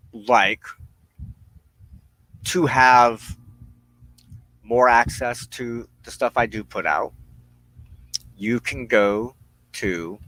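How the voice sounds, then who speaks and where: A man hosting a personal spiritual talk, speaking in deliberate, halting phrases with firm emphasis. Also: medium pitch, bright, forceful tone, rough recording. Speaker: host of a weekly witchcraft broadcast.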